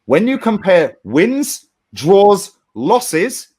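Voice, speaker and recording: A man's voice: high voice, sing-song, extremely slow, a little mellow, husky. A man, arguing heatedly about football and swearing as he talks over others. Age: 30s. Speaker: fan panelist. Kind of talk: heated argument.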